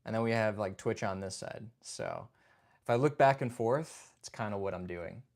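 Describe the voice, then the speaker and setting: A man's voice: fairly low pitch, normal speed, vocal fry, darkish tone. A young man hosting a casual livestream chat. He reads viewer comments, greets people by name and keeps saying "man" and "dude". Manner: laid-back, chatty, says "man" and "dude". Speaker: livestream host.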